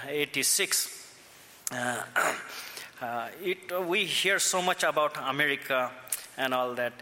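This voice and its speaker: A man from India with an Indian accent, preaching slowly and haltingly, with many fillers and restarts as he speaks. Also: medium pitch, sharp tone, a little hiss or hum. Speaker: pastor. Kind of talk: sermon. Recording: echoey hall, faint ambience.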